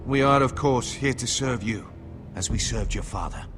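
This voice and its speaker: A man playing a cautious knight and advisor who speaks in a measured, persuasive way. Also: medium pitch, music in the background, natural voice. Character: shrewd cautious male advisor, sworn knight.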